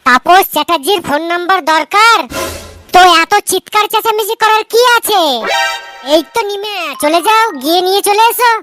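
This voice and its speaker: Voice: very high-pitched, normal speed, over music. A performer voicing a cheeky, argumentative village youngster with a West Bengal accent in a comedy cartoon. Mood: cheeky, nonchalant. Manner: cheeky, argumentative, mock-innocent. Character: cheeky squeaky village youngsters needling their uncle.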